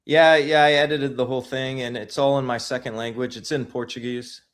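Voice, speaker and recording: A man's voice: medium pitch, steady tone, brisk. A man being interviewed as a guest filmmaker, telling his stories in a casual, rambling way with lots of restarts.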